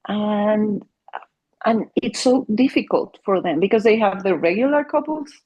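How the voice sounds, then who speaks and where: A woman's voice: mid-range voice, animated, a little slow, darkish tone, muffled recording. A middle-aged woman from Mexico, a Spanish native speaker with a Mexican accent, talking about her work as a family therapist in an interview, earnest and full of restarts. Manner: earnest, many restarts and fillers. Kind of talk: interview conversation.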